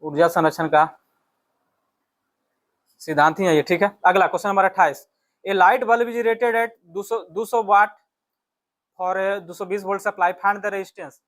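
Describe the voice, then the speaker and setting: A man's voice: high voice, steady tone, measured pace, pauses often, fairly bright tone. A man with an Indian accent giving an instructional exam lesson as a teacher, repeating key phrases and checking in with short tags. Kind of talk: physics exam lesson, working through questions.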